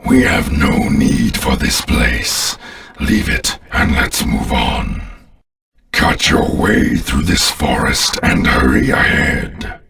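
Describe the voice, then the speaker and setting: An extremely deep alien overlord, speaking slowly and with contempt, as in a video game. The tone is grandiose and forceful, with a gravelly grind, and sounds set a little back from the mic. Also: male voice, big pitch swings, far from the mic, full character voice. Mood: imperious, urging. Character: menacing alien warlord commanding an invading army.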